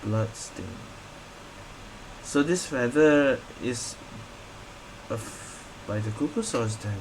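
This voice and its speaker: A woman's voice: extremely low pitch, slow, low-quality recording. A woman streamer giving casual playthrough commentary in fragmented, muttered reactions, with room noise behind her. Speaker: gaming streamer.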